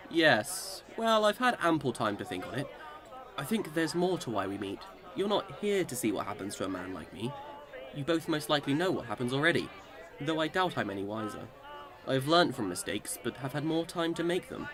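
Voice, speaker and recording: A man's voice: mid-range voice, big pitch swings, normal speed, a crowd in the background, close to an everyday voice. A man acting in a radio drama as a boastful immortal Englishman who swings between cheer and despair, with theatrical old-time speech. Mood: earnest.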